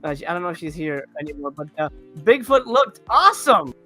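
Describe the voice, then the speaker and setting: A man with a high, bright voice hosts a casual movie panel. He rambles and halts as he goes, feeling his way through each thought. Faint music plays along behind him. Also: sing-song. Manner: halting, rambling. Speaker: host of a movie review panel.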